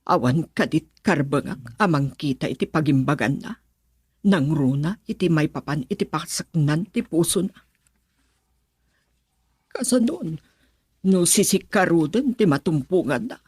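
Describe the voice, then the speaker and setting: A woman voicing a worried, middle-aged mother in a radio drama who schemes at matchmaking and speaks in a slow, musing, husky voice. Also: low voice, no room sound, clean studio sound, exaggerated voice. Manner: slow, musing, warmly excited on the phone. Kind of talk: Ilocano radio drama acting.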